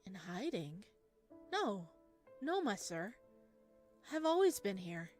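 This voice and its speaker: An eerie, lonely creature-man from a horror game, played by a woman, slow and soft in speech. He pleads like a child seeking approval. Music plays clearly behind him. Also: medium pitch, sing-song. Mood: gently insistent.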